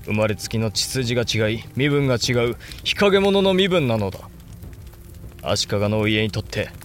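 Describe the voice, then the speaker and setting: An actor playing a brooding, resolute samurai lord and elder brother in a Japanese period audio drama, speaking gravely and with deliberate command. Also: male voice, fairly low pitch, close to an everyday voice. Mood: brooding, resolved.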